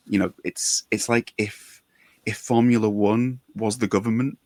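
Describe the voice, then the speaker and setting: A man's voice: fairly low pitch, fairly even intonation, unhurried, darkish tone, dry recording. A man hosting a casual interview, chatty and informal, with a gushing, enthusiastic way of talking. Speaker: podcast interviewer.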